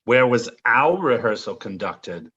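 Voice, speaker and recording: A villainous French nobleman for an audio play. The voice is sharp-edged and raspy, grandly theatrical and full of mocking relish, with drawn-out, florid phrases. The recording sounds a little dull. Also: mid-range voice, very animated, full character voice. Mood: mocking, sinister.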